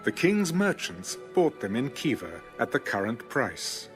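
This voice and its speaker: A man narrating scripture in a measured, formal, solemn storytelling style. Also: medium pitch, big pitch swings, faint music behind.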